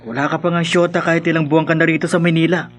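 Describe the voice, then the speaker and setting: A man's voice: a little high, little pitch movement, very fast, fairly bright tone, faint music behind, close to an everyday voice. A man playing a nosy, teasing young housemate in a radio drama, speaking inquisitively with a Filipino accent. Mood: teasing, curious. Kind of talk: horror radio drama acting.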